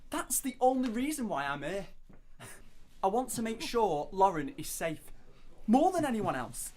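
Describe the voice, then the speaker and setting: A distraught man defends himself and his family in an audio drama, acted out by a woman. The pitch swings widely and the protests are emphatic and halting. There is noise behind the voice. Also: sing-song, darkish tone. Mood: anguished, protesting. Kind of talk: dramatic audio scene acting. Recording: clear background noise.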